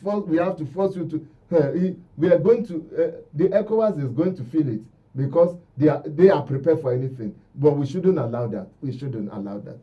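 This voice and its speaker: A man's voice: a little high, fairly quick, few pauses, distant mic. A Nigerian man speaking as a guest commentator in a radio discussion, emphatic and repeating phrases for stress. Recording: dull, lo-fi radio audio.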